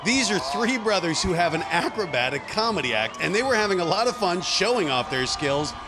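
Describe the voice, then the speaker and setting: A man calls an obstacle course run with a high, bouncy voice that swings widely in pitch. He turns excited and shouts at the big moments. Loud crowd noise and music fill the background. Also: a little fast. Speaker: sports commentator. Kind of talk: competition play-by-play commentary.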